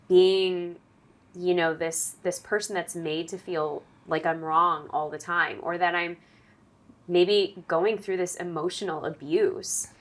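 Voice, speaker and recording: Woman podcast host, low voice, empathetic, expressive reactions. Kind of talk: conversational interview.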